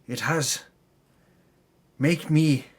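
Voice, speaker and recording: A man's voice: on the high side, very slow. A man giving a mock-solemn, theatrical dramatic reading as the storyteller of a tabletop campaign, pompous with comic self-correcting asides.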